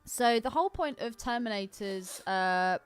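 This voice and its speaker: A woman's voice: on the high side, animated, a little slow, smooth, soft background music. Blunt, sweary woman chatting casually. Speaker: podcast co-host. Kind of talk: casual film chat.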